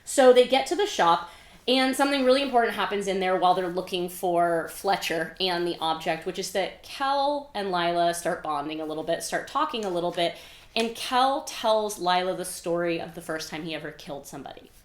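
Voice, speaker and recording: A woman hosting a readalong video, recapping a story in a casual, chatty way with animated emphasis and quieter asides. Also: lowish voice, brisk. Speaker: host of a book readalong video, author. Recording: roomy, echoey indoor sound.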